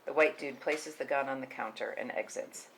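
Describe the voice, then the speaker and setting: A man's voice: mid-range voice, moderate pace, a bit bright, a little hiss or hum, rough recording. A man narrating the action lines and scene headings of a screenplay at a table read. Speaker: stage-direction narrator.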